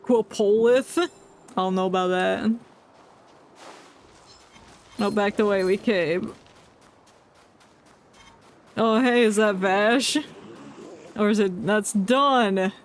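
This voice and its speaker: A woman's voice: medium pitch, slow, pauses often, a little hiss or hum. A woman streaming a game with casual live commentary, tossing playful asides back at the characters.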